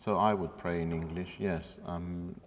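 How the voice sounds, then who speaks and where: Very deep-voiced man, halting speech. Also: steady tone. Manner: halting, many fillers. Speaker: panel member. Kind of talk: casual panel discussion. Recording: long reverb in a hall.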